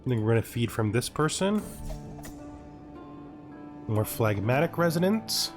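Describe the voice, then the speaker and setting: A man's voice: mid-range voice, big pitch swings, a little slow. A man narrating a video game playthrough casually, thinking aloud and reading on-screen text as he plays. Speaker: gaming video host, player narrating a playthrough. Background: music under the voice.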